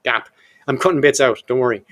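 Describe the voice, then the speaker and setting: A man's voice: medium pitch, a little fast, a bit bright. A man of about fifty hosting a casual history podcast, jokey and irreverent, who swears offhandedly and breaks up long read-out quotes with his own asides. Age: 50s. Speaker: podcast host.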